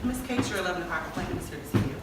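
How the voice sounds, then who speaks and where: A woman's voice: lowish voice, animated, rapid-fire, close to an everyday voice. A woman acting a chatty, nosy office receptionist in a stage play, with a sassy, theatrical delivery. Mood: polite, businesslike. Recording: echoey stage hall, distant mic, faint noise.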